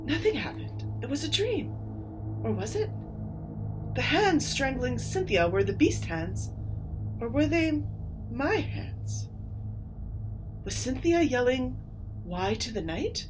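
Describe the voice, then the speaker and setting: A woman playing a disturbed, eerie teenage girl with a breathy voice in a horror story. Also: high voice, very animated, takes it slowly, long pauses, over music, pitched above the performer's own voice, full character voice, poor audio. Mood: confused, uneasy.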